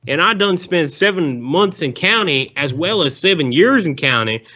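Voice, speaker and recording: A man's voice: a little high, lively intonation, moderate pace, pushed and bright. A man voicing a rambling, bigoted Southern ex-convict on a prank call, with a folksy drawl and calling everyone sir. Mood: confiding. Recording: dull lo-fi phone call.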